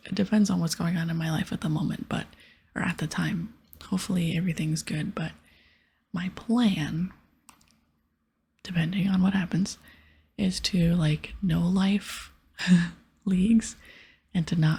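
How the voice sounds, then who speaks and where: A woman speaking in a soft, breathy whisper close to the mic, giving gentle, casual ASMR gameplay commentary. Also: on the low side. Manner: gentle, hushed, casual rambling. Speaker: ASMR gaming video creator. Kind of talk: ASMR whispered gameplay commentary with quest dialogue read aloud.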